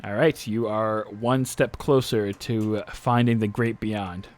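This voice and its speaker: A man's voice: fairly low pitch, steady tone, slow pace, darkish tone. Man running a tabletop game. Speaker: game master. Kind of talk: improvised narration of a tabletop game.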